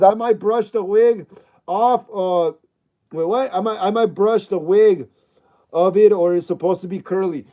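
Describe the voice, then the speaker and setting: A man in his thirties hosting a casual livestream, reading out viewer comments and chatting in a relaxed, repetitive way with slang. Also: high-pitched, highs cut off. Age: 30s. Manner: chatty and repetitive, uses slang like bro and dog. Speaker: livestream host.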